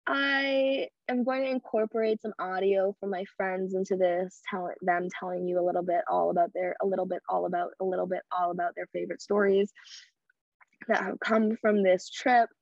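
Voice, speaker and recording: A woman's voice: mid-range voice, steady tone, normal speed, vocal fry, no room sound, lo-fi sound. A young woman hosting her own podcast alone, speaking bluntly and candidly with crude humor. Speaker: podcast host. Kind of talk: casual solo podcast talk. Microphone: podcast microphone.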